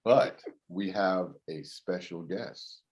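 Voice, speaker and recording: A man's voice: low-pitched, takes it slowly, a little mellow. A man hosting an interview show, chatty and friendly, laughing often. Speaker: host.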